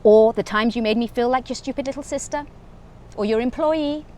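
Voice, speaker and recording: A woman doing a pointed, quietly bitter young woman. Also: a little high, fairly quick, lightly acted. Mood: reproachful. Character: hurt, composed young woman seeking closure.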